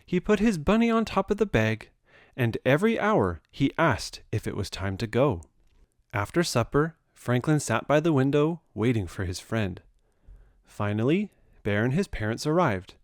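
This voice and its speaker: Lively male storybook reader. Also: mid-range voice, normal speed, no echo, studio quality. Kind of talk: expressive children's read-aloud narration.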